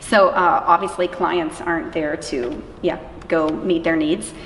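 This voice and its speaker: A woman's voice: low voice, steady tone, a little slow. A woman giving informal, emphatic conversational answers in an interview about her work. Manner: emphatic, informal, illustrates points with acted-out quotes. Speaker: interviewee, anti-trafficking worker. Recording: echoey, reverberant room with clear background noise.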